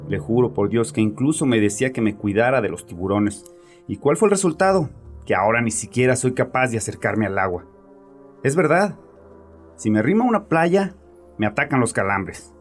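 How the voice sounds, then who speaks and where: A man's voice: mid-range voice, animated, a little fast, soft background music, close to an everyday voice. A man playing a bitter, domineering grieving father who confides in a therapist with agitated, sarcastic arrogance in a horror audio drama. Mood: agitated, self-justifying.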